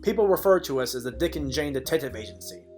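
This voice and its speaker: A man playing a wry, world-weary private detective, narrating a film noir parody in a deadpan manner. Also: medium pitch, quick pace, over music, close to an everyday voice.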